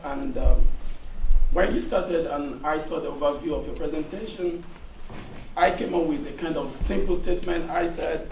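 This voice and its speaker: A hoarse man from Nigeria asks a long, earnest question from the audience, halting with many fillers. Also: on the high side, a little mellow. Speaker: audience member asking a question. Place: seminar room. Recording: echoey room, distant, faint noise.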